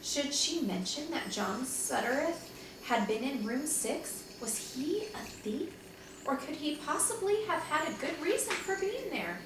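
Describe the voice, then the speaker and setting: A woman with a fairly high, animated voice reads a children's chapter book aloud as a teacher would. She acts out the story expressively. The sound is very echoey and set back from the mic, with clear noise behind it. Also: measured pace. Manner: expressive, acts out dialogue with asides. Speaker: second-grade teacher reading aloud to pupils. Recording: echoey, distant mic, noticeable background noise.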